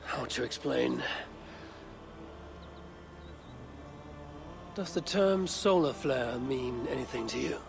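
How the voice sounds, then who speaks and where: An actor playing a grave, patient sage of a lost ancient people in a video game, explaining things solemnly. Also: male voice, medium pitch, expressive, moderate pace, vocal fry, over music. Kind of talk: dramatic video game acting.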